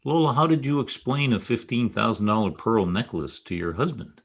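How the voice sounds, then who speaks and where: An actor playing a hard-boiled, wisecracking private detective in a 1940s-style radio drama, with a wry, clipped delivery. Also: male voice, mid-range voice, normal speed, close to an everyday voice. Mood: probing, wry.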